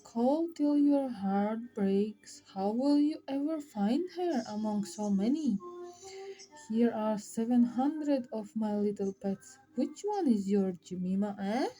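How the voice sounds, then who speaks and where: A woman's voice: fairly high pitch, expressive, takes it slowly, warm tone, rough recording. A woman voicing a cruel, gloating witch in a storybook, taunting and commanding her captive. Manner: mocking, commanding, punctuated with "eh?" and "huh?". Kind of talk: storybook read-aloud.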